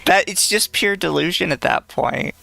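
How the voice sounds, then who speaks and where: Blunt, sarcastic man with a bright voice. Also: fairly high pitch, normal speed, creaky. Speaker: podcast co-host. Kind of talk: casual podcast chat.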